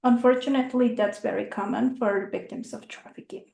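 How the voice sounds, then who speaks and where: A woman's voice: a little high, steady tone, creaky, warm tone. Earnest young woman in echoey room. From Mexico. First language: not English. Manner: earnest, halting with restarts. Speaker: speaker. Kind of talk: informational talk. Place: meeting room.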